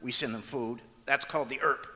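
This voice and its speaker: A man's voice: medium pitch, steady tone, fairly quick, bright, forceful tone. A man playing a patient, wry straight-man husband in an old-time radio comedy, dry and explanatory. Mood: patient, explaining. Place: large auditorium stage, live performance. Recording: echoey auditorium stage recording.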